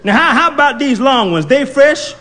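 Brief: demanding old lady with a high drawl and swooping pitch, put on by a male storyteller; reverb-heavy hall. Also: big pitch swings, moderate pace, pushed and bright, full character voice. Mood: suspicious. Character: bossy, sharp-tongued elderly woman. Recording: hall reverb, faint noise.

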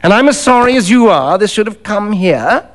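Man acting a sneering, animated young doctor. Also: sits high, voice pushed up. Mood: coolly persuasive. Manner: brisk, worldly, sneering. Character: cynical, dapper young male doctor, unscrupulous and cool.

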